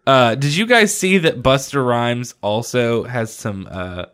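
A man's voice: medium pitch, a bit bright, smooth. A man co-hosting a casual podcast chat, often restarting his sentences and using fillers. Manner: halting, many restarts and fillers. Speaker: podcast co-host.

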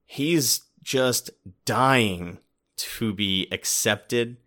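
A man's voice: mid-range voice, extremely slow, bright, forceful tone. A man talking through a true crime case in a conversational, explanatory way. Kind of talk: casual commentary.

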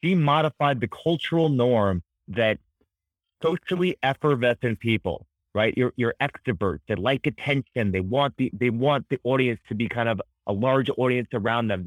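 A man speaking as an expert podcast guest, explaining ideas conversationally in an energetic, emphatic and articulate way. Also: medium pitch, moderate pace, no echo, poor audio. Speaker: podcast guest, author and psychology educator.

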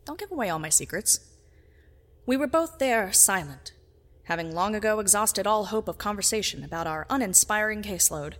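An actress playing a private detective who narrates in a deadpan film noir style. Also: female voice, medium pitch, normal speed, close to an everyday voice. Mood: wry. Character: hard-boiled private eye narrator, wry and dry.

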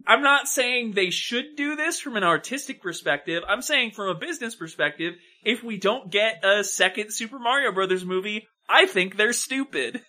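A man's voice: high voice, animated, normal speed, hardly any pauses, sharp tone. A man co-hosting a podcast, chatting casually about film in a blunt, emphatic, sweary way. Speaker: podcast co-host.

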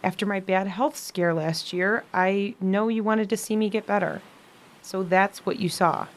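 A young American woman playing a frail, weary younger sister, gentle but frank, in a modern audio drama. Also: medium pitch, steady tone, unhurried, lightly acted. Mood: resigned, earnest.

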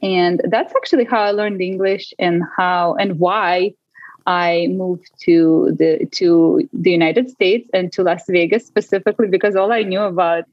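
A woman's voice: on the low side, measured pace, dry recording. Woman on a dull remote line. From Russia. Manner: animated, laughs often. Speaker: podcast guest. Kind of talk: casual interview storytelling. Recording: dry, dull lo-fi call audio.

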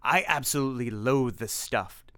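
A gloating, menacing male vampire lord, as a storybook reader puts him on, drawing his words out slowly and mockingly in a raised, strained tone. The voice stays smooth and clear, and the recording is dry and close. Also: medium pitch, bright, forceful tone, no room sound, lightly acted.

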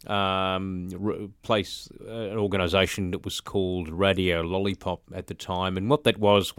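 An Australian man in his forties, a musician chatting as an interview guest, halting with fillers and restarts. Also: low voice, fairly bright tone, smooth, no room sound. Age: 40s. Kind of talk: casual interview talk.

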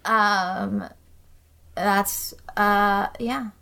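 A woman's voice: medium pitch, steady tone, extremely slow, pushed and bright, clean tone. A woman chatting casually as a guest on a podcast.

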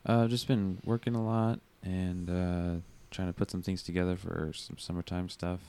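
A man co-hosts a podcast, speaking hesitantly and casually. His voice is somewhat low. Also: flat delivery, normal speed, warm tone.

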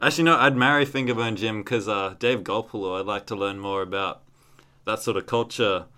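A man's voice: lowish voice, a little fast, bright, forceful tone. An Australian man chatting casually and comedically as a podcast host.

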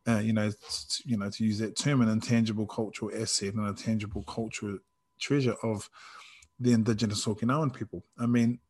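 A man from New Zealand with a New Zealand accent and a fairly low voice. He talks casually and reflectively, feeling his way through sentences and often restarting to reword a thought. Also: steady tone, a little fast, breathy edge. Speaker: karate practitioner in discussion. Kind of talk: casual conversation.

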